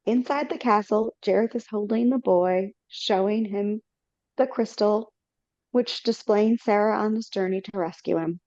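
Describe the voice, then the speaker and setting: A woman's voice: mid-range voice, a little slow, darkish tone, no echo. A woman narrating stage directions in a casual way for a live reading over a video call, with slips and self-corrections. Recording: dull lo-fi audio.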